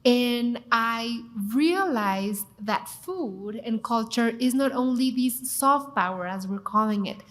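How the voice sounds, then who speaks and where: A woman's voice: a little high, slow pace, few pauses. Enthusiastic Mexican-accented woman. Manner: expressive, enthusiastic, emphatic. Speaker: TV cooking show host, guest. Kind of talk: panel conversation.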